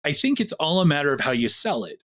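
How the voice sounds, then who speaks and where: A man's voice: fairly high pitch, sing-song, fast, fairly bright tone. A man who produces a podcast, talking at length in a casual discussion with plenty of examples. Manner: expansive, storytelling.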